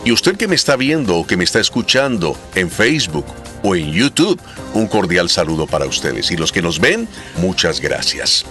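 Man, talk show host, low voice, animated swooping pitch, formal greetings, faint music underneath. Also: moderate pace. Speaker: host of a testimony program. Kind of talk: warm formal broadcast hosting and interviewing.

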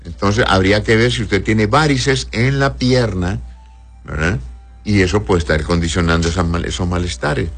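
Low-voiced guy doctor explaining health stuff slowly and patiently, keeps asking "right?", soft music behind. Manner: patient, explanatory, often adds a questioning "right?"; calls patients "my love". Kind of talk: reading out and answering health questions.

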